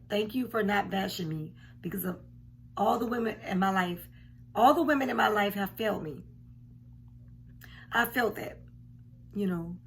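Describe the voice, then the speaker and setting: A woman in her forties, a bit hoarse, giving frank, emphatic commentary from her home, repeating points for weight. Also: medium pitch, a little hiss or hum. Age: 40s. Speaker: commentary vlogger. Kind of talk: casual reaction commentary. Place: home room indoors. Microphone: camera at desk distance.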